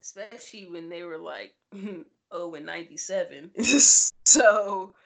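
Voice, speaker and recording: A woman's voice: lowish voice, measured pace, gravelly, a bit bright, rough recording. A woman co-hosting a sports podcast in casual, playful, teasing chat.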